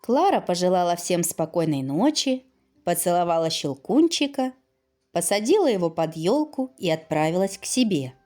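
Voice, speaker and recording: A woman narrates a fairy tale for children with highly animated pitch. She takes her time, using dramatic pauses and an expressive storyteller's delivery. Faint music plays behind her.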